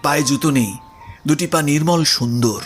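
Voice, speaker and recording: A male narrator reads a Bengali literary audio story. His voice sits a little high for a man, in scripted storytelling delivery, with music playing behind it. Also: moderate pace.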